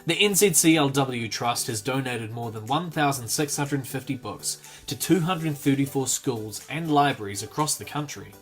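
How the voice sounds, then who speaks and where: Man, scripted promo voice-over, even upbeat read, faint music behind. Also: medium pitch, moderate pace, hardly any pauses. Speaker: promo video narrator. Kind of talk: scripted narration.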